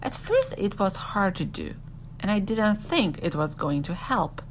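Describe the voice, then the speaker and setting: A woman reading a first-person children's story aloud in an expressive, animated storytelling manner. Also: mid-range voice, measured pace, a little hiss or hum.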